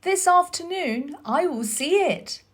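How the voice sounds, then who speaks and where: A woman voicing an imperious, excitable young boy invalid full of wonder, in a storybook reading. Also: very high voice, slow, pitched above the performer's own voice, exaggerated voice. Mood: excited, hopeful.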